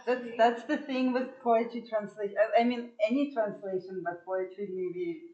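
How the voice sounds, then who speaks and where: Halting woman translator, mellow voice. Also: medium pitch, fairly even intonation, lo-fi sound. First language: neither Hindi nor Hebrew. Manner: halting, repeats words, laughs between points. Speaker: guest lecturer and translator. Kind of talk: academic panel discussion.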